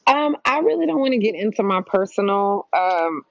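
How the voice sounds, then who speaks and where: A woman's voice: mid-range voice, sing-song, normal speed, vocal fry, no echo. A woman comedian chats as a guest on a podcast, choosing her words with some hesitation and reserve. The sound is dry, with a dull, lo-fi edge. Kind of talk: casual interview chat.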